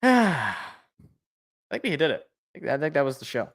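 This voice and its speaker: A man with a loud, worn-out voice talks sports in casual podcast chat. He gets excited and trips over repeated words, his tone bright and his pitch swinging widely. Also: moderate pace. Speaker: podcast co-host.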